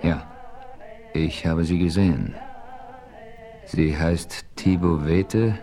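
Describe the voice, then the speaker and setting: A man playing a calm, thoughtful frontier hero who narrates his western adventure in a radio play with measured, formal diction. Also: low voice, soft background music. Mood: earnest, probing. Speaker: narrator and lead character.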